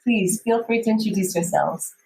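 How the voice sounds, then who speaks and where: A woman moderating an online panel, calling on speakers with encouraging, gently hesitant prompts. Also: medium pitch, normal speed, creaky, echoey room, a little hiss or hum, low-quality recording. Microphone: video call.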